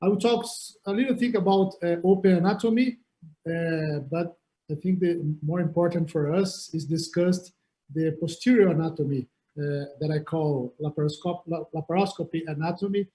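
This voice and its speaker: A man from Brazil with a Brazilian Portuguese accent, giving an online surgical anatomy lecture over a video call in halting English, often repeating words. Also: a little high, warm tone, low-quality recording. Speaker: surgeon lecturer.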